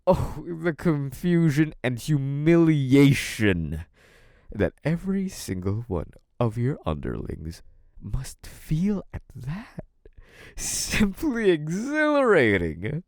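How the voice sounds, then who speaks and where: Man acting a slow, menacing young mafia boss. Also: fairly high pitch, very animated, no echo, clean studio sound, heavily acted. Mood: gloating. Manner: menacing, condescending, theatrically drawn-out delivery with dark chuckles. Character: possessive, scheming young male mafia boss, gloating. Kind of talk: theatrical scripted audio roleplay monologue.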